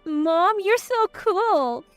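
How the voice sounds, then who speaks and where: A woman's voice: very high voice, expressive, drawn-out words, faint music behind, voice pushed up, exaggerated voice. A woman voicing a cheerful, innocent kindergarten-age girl in a narrated family story.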